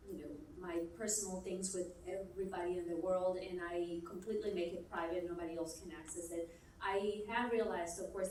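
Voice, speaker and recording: A woman's voice: medium pitch, darkish tone, slight background noise. Colombian woman panelist in an echoey hall. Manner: thoughtful, hesitant restarts. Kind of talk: panel discussion answer. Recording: echoey hall, mic far off.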